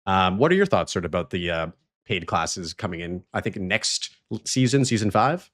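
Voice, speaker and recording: A man's voice: low-pitched, big pitch swings, normal speed, fairly bright tone. A man hosting a podcast, talking in a loose, laid-back way. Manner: laid-back, loose, many fillers like "sort of". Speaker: podcast host. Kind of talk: casual podcast conversation.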